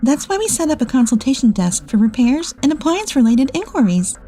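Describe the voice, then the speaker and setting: Woman acting, assertive office lady, high mellow voice, animated manga-dub drama, music underneath. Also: fairly quick. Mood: composed. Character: bitter, bossy female ex-boss, and calm capable female employee. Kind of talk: dubbed comic drama narration.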